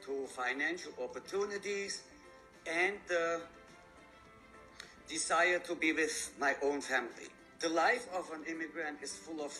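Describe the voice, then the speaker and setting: A man in his fifties who grew up in Germany speaks earnestly as a guest author. His voice sits a little high and bright, and he picks his words with care. Faint music plays underneath. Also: poor audio. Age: 50s. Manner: earnest, measured, slightly halting. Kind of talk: TV interview.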